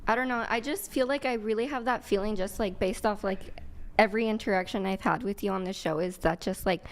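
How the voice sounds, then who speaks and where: A woman's voice: mid-range voice, brisk. A woman whose first language is not English, a debate guest who talks hesitantly with many fillers. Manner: hesitant, full of "like" fillers, laughing off jabs. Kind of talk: heated live debate.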